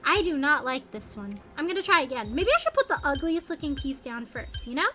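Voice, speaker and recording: Female game streamer, high and bright voice, chatty and expressive, thinking out loud over faint music. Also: brisk. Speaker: video game livestreamer. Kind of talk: casual gaming stream chat.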